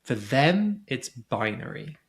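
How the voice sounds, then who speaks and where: A man's voice: medium pitch, very animated, takes it slowly. A man talking as a guest in a podcast interview, explaining things thoughtfully and often restarting his sentences. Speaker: podcast guest, surrogacy community co-founder. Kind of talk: casual conversational interview.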